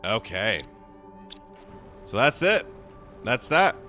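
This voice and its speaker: A young man with a hoarse voice, chatting casually as he plays a game for his channel, rambling with frequent restarts. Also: medium pitch, sing-song, takes it slowly, bright, forceful tone, faint music behind. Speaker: gaming channel host. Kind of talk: casual gameplay commentary.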